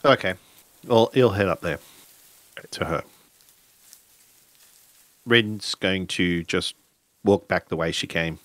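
Slow, low-voiced man narrating a game. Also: fairly bright tone, no echo, poor audio. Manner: halting, pausing mid-sentence. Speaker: tabletop role-play game storyteller or player.

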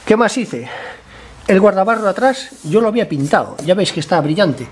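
A man with a slightly hoarse voice from a cold, talking casually while he works on a motorcycle in a garage, explaining each step and often checking in with his viewers. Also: high voice, lively intonation, normal speed, slight background noise. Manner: chatty, explains step by step, keeps asking ¿vale?. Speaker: motorcycle mechanic vlogger.

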